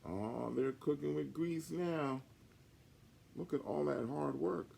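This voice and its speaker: A man reading a picture book aloud to children in an animated way, with chatty asides. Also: fairly high pitch, takes it slowly, vocal fry, slightly rough. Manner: chatty asides to young listeners.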